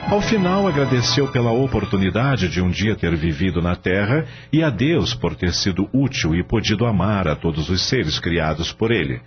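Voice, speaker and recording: A man's voice: low voice, very animated, normal speed, hardly any pauses. A man narrating a scripted radio novela in a dramatic storytelling style. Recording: slightly dull radio-style audio. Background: music.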